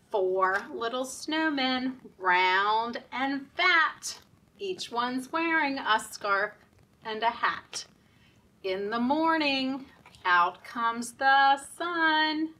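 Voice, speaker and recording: A woman leading story time for young children in a sing-song, deliberate, encouraging way, often asking the children questions. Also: high voice, bright, forceful tone, far from the mic.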